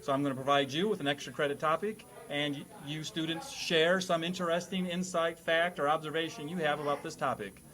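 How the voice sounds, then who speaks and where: A man's voice: a little high, steady tone, brisk, hardly any pauses, fairly bright tone. A man hosting a comedic classroom quiz in a jokey, mock-formal teacher's manner. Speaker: host playing teacher of a comedy panel game.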